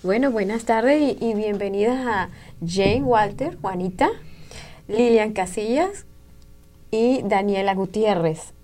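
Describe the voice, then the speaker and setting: A woman's voice: medium pitch, takes it slowly, warm tone. Laughing woman radio host. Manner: laughs often, friendly questioning. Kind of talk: community radio chat. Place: radio studio.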